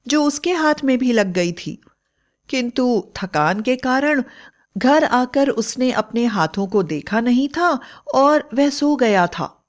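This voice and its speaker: A woman tells a bedtime tale from a script. Her voice sits fairly high, and she takes the narration very slowly, line by line. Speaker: storyteller.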